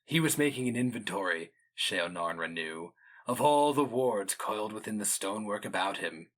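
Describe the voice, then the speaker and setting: A man giving a grave, measured, theatrical reading of epic fantasy as the narrator. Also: lowish voice, expressive, a bit bright.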